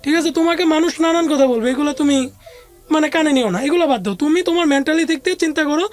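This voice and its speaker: High-pitched Bangladeshi woman telling her story. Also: fast talker, a little mellow, soft background music. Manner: conversational, often quoting others' words. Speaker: interview guest. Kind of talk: personal life storytelling.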